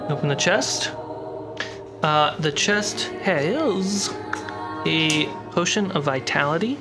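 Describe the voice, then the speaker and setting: A woman narrating a tabletop game as game master, describing scenes in short, measured phrases. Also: low-pitched, expressive. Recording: prominent music bed. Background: background music score.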